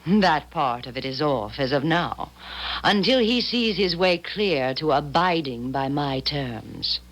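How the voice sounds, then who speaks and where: She-devil, low swooping voice, deliberate, coolly menacing, soul bargainer, old radio drama. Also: expressive, full character voice. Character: cunning she-devil woman bargaining for souls. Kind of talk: 1970s radio drama acting. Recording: 1970s radio broadcast recording.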